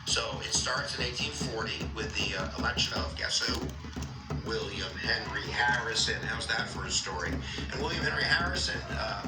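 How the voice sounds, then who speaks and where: A man talks through a history tale in a loose, rambling way, full of fillers and offhand jokes. His voice sounds roomy and comes from a distance, with clear music underneath. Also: lowish voice. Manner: rambling, filler-heavy, joking asides. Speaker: history storyteller. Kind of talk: casual history explainer.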